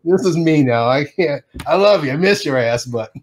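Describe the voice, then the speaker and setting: Animated middle-aged man telling stories. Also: a little high, normal speed. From Detroit. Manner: animated storyteller who acts out quotes and laughs often. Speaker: animation artist guest. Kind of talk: casual storytelling interview.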